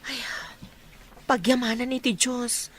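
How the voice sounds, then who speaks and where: A woman's voice: fairly high pitch, fairly even intonation, takes it slowly, soft background music. An actress playing a sympathetic, inquisitive village woman in an Ilocano radio drama, with an Ilocano accent.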